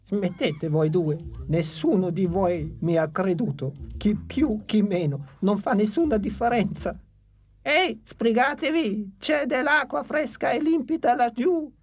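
A woman voicing a calm, wise long-necked dinosaur in a cartoon dub. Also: mid-range voice, a little slow, husky. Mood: urging. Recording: music faintly under dialogue.

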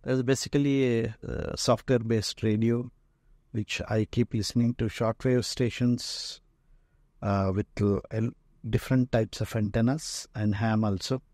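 A man with an Indian accent giving an informal guided tour as a museum owner, speaking haltingly with frequent pauses. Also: medium pitch, steady tone, darkish tone, dry recording, studio quality. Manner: halting, pausing often mid-phrase. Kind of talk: informal narrated walk-through tour. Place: indoor museum and workshop room full of shelves.

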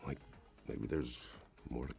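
A man's voice: very deep voice, a little slow, vocal fry, dark tone, faint music behind. A man co-hosting a games showcase with scripted, playful, theatrical delivery.

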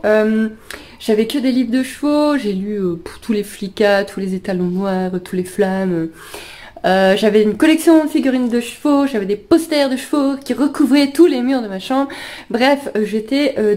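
A woman's voice: fairly high pitch, darkish tone. A woman in her thirties with a slight cold, chattily telling personal stories to camera and often interrupting herself. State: slightly ill with sniffles. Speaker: animal vlogger. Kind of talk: casual personal storytelling to camera. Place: living room at home, indoors.

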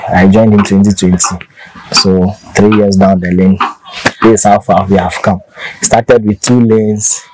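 A man's voice: lowish voice, flat delivery, a little slow, a little mellow, a little hiss or hum, rough recording. A man in his thirties from Ghana, a farmer telling his story in a casual interview, easygoing and restarting often, recorded outdoors under a thatched shelter on a lapel mic.

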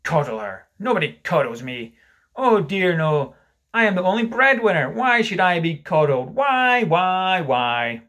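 Guy reading as a blustery self-pitying dad from a storybook, husky, swoops all over. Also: fairly high pitch, very animated, measured pace, pushed and bright, full character voice. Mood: bitter, self-pitying. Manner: indignant, theatrical, exclamatory. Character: pompous, blustering, self-pitying father of a family.

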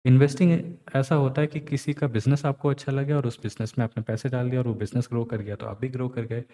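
Man, astrologer teaching, mellow voice, long reverb, on words. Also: mid-range voice, steady tone, fast talker. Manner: explanatory, mixes English phrases into Hindi. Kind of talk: screen-recorded chart analysis. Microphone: voice-over on screen recording.

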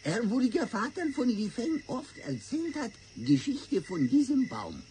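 A man's voice: very high voice, huge pitch swings, unhurried, a little mellow, a little hiss or hum, heavily acted. A man playing a solemn Chinese storyteller in a radio play, speaking broken German with swapped r and l sounds. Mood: admiring. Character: Chinese sage-like man telling an old fable, solemn.

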